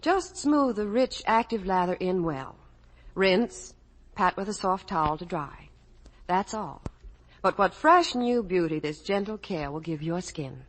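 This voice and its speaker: A woman's voice: fairly low pitch, expressive, unhurried. A woman working as a Hollywood reporter, in scripted 1950s radio promotional chat.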